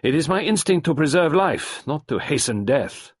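A man voicing an earnest middle-aged French doctor who speaks haltingly and thoughtfully. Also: fairly high pitch, very animated, husky, no room sound, clean studio sound, pitched above the performer's own voice. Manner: halting, thoughtful, with hesitant fillers.

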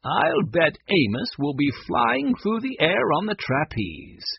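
Old grandfather, read by a man, gentle, reassuring, very husky, close dry sound, storybook. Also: sits high, huge pitch swings, a little slow, no echo, dull audio, pitched above the performer's own voice, exaggerated voice. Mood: cheerful. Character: kindly, twinkling old grandfather.

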